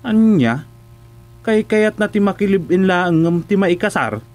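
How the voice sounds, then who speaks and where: A man with an Ilocano accent acting a curious, excitable villager in a comedic radio drama, with exclamations and drawn-out interjections. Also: sits high, soft background music. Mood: incredulous.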